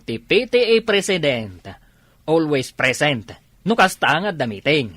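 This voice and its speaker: A man's voice: fairly high pitch, very animated, unhurried, fairly bright tone, no room sound, full character voice. A man playing an exasperated Ilocano village husband, scolding and nagging with challenging questions in a comedy radio drama. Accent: Filipino, Ilocano.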